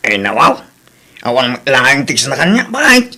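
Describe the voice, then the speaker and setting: A man's voice: mid-range voice, huge pitch swings, moderate pace, gravelly, bright, forceful tone, full character voice. A man with an Ilocano accent playing a poor, resigned, patient village husband in a radio drama.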